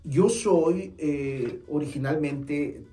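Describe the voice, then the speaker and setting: A man's voice: a little high, very slow, dark tone. A man in his forties with a Mexican Spanish accent, an earnest and friendly saxophone teacher who talks to camera with hesitant fillers and restarts, in a small shop studio. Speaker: saxophone teacher and music store owner. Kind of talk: informal instructional talk to camera. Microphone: far camera mic. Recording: roomy, distant camera sound, faint music.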